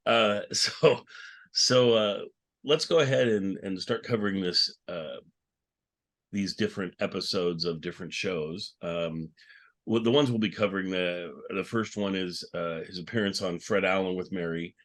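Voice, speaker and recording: A man with a deep-pitched voice hosts a panel. His delivery is informal and conversational, as in a casual podcast chat. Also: normal speed, a bit bright. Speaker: podcast host.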